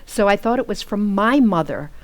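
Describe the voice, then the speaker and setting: A woman's voice: medium pitch, expressive, normal speed, close to an everyday voice. A woman acting a patient, affectionate housewife in an old-time radio comedy, sweetly placating. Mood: patiently explaining. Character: patient, affectionate housewife, gently correcting her husband.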